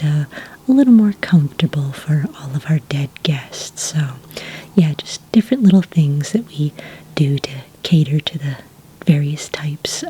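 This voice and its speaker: A friendly witch desk clerk, played by a woman in an ASMR roleplay. Her voice is very breathy and whispered, with a dark, mellow softness. She takes her time, moving slowly through polite, slightly hesitant check-in patter. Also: low voice, heavily acted, poor audio. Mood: patiently explaining. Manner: unhurried, polite, hesitant customer-service patter.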